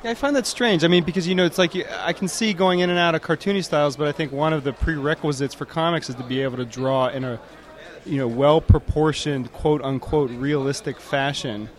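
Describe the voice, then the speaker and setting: A man's voice: a little high, brisk. A man interviewing a young comics artist in a casual, teasing way, rambling with many fillers, recorded outdoors.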